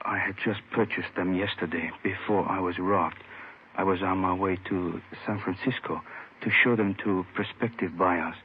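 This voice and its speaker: A tinny, slightly noisy old radio recording carries a low-voiced gentleman played by a man, an injured jade dealer. He is formal, polite and pained, picking his words carefully in vintage drama style. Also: steady tone, moderate pace, lightly acted. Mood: careful, courteous. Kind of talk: 1950s radio drama acting.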